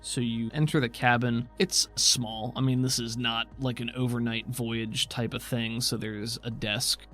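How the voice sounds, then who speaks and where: A man's voice: mid-range voice, fairly even intonation, a little slow, sharp tone. A man narrating a tabletop roleplaying game as game master, mixing descriptive scene-setting with casual asides. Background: background music.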